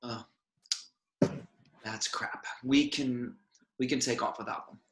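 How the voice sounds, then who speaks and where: A man's voice: medium pitch, fairly even intonation, slow, gravelly, roomy sound. A man reading the part of a weary, brusque spaceship captain in an amateur script table read, terse and offhand. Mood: impatient.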